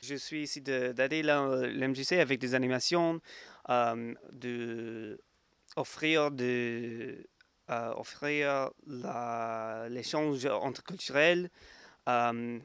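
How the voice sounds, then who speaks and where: A man in his twenties with an English accent, a volunteer speaking learner's French haltingly, searching for words. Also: medium pitch, fairly bright tone, clear, resonant tone, no room sound. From England. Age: 20s. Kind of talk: interview testimony.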